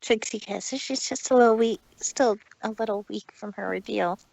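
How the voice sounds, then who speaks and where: A woman's voice: a little high, moderate pace, gravelly, a little mellow, no room sound, low-quality recording. A woman voicing an anxious female unicorn pony in a fan-made cartoon audio drama. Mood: weary.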